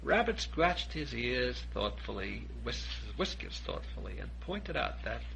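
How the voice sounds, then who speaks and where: Animated man reading a children's story. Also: medium pitch, measured pace, creaky, a little hiss or hum, poor audio. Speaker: audiobook reader. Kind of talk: expressive children's story narration.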